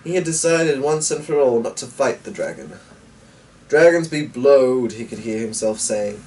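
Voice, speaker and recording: A man reading a story aloud and rambling to himself, with hesitant, drawn-out musing and muttered asides. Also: mid-range voice, a little mellow. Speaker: host and story reader. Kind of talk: rambling solo chat and dramatic story narration. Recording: distant mic with faint background noise.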